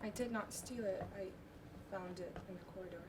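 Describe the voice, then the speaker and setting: A teenage female student acting a brisk, defensive nurse who plots an escape in an amateur stage play. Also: medium pitch, a little mellow, natural voice. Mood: startled, defensive. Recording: noisy live stage recording with clicks.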